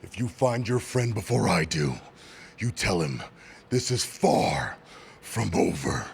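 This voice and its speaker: A man's voice: low voice, sing-song, slow pace, heavily acted. A man playing a furious, threatening male wrestling heavyweight in a video game, speaking in commanding, emphatic promo style. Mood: low threat. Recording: clear background noise.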